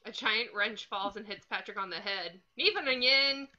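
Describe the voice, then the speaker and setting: Bright-voiced woman narrating stage directions. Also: medium pitch, steady tone, moderate pace, muffled recording. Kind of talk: scripted narration.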